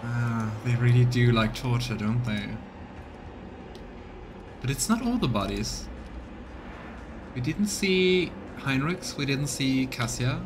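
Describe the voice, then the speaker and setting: A man doing live let's play commentary, reading game text aloud and thinking out loud for his viewers. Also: mid-range voice, sing-song, slow, pauses often, noisy background. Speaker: live streamer voicing a game playthrough.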